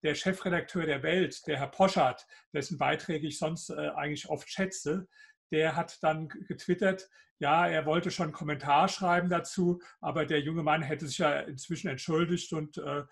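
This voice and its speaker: An older man with a mild Hessian lilt answers questions over a video call from his home office. His voice sits fairly high for a man, with a gravelly, husky edge. He pauses often on fillers as he builds a pointed argument. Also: fairly even intonation. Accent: Hessian German. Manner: hesitant, many fillers, argumentative. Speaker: guest author. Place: home office with bookshelves, indoors.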